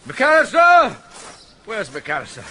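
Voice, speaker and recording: Flustered elderly aristocrat: high, bright, fussy and blustering. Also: male voice, sing-song, moderate pace, heavily acted.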